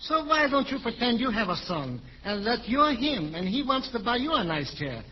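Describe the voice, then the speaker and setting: High-voiced, wisecracking salesman. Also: big pitch swings, moderate pace. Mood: wheedling. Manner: wisecracking, chuckles after his own jokes. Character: jokey, wheedling furniture salesman, a cheerful father. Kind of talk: 1940s radio comedy acting. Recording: dull, hissy vintage radio recording.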